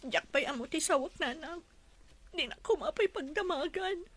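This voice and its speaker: A woman's voice: sits high, animated, moderate pace, fairly bright tone, no echo. A woman playing a distressed young wife in a radio drama, with an Ilocano accent and an emotional delivery. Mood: troubled. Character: distressed young wife, tearfully defending her marriage.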